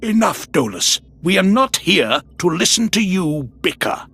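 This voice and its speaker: A man's voice: high voice, very animated, measured pace, creaky, sharp tone, slightly rough, full character voice. An actor playing a pompous Imperial military commander in a video game, speaking in a grandiose, showman-like way. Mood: brisk authority.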